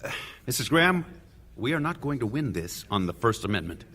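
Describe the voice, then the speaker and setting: A man's voice: medium pitch, very animated, brisk, sharp tone. An actor playing a pragmatic male trial lawyer in a radio play, courteous yet firm and earnest. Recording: reverberant hall.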